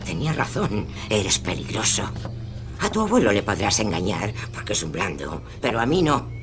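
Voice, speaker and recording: Una actriz que interpreta, en un radioteatro, a una abuela anciana, dura, regañona y dominante, con acento de España. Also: female voice, rumbling low, big pitch swings, moderate pace, over music. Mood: scolding, bitter. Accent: Castilian Spanish. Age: older. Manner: scolding, nagging, sharp-tongued. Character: harsh, scolding, domineering old grandmother. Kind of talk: audio drama acting.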